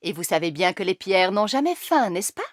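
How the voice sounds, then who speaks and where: A woman's voice: mid-range voice, big pitch swings, brisk, no echo, clean studio sound, lightly acted. A woman voicing a genteel, patient fairy queen mother who tells stories expressively in a French cartoon dub. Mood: patient, puzzled.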